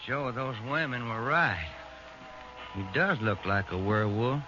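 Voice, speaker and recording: An American actor playing an excitable, loyal police detective sidekick in 1940s radio drama style. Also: male voice, mid-range voice, measured pace, close to an everyday voice. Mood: subdued. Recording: dull vintage radio recording, loud music behind.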